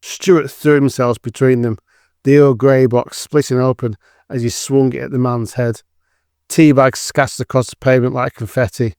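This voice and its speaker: Guy narrating an audio drama, smooth clear voice, dry close sound. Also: mid-range voice, fairly even intonation, moderate pace, a little mellow, dry recording. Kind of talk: scripted story narration.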